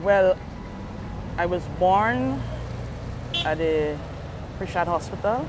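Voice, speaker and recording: A woman's voice: lowish voice, lively intonation, takes it slowly. A woman police sergeant with a Guyanese accent, answering interview questions outdoors in short, matter-of-fact replies. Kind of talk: street interview. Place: outdoors by a street junction. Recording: outdoor street noise.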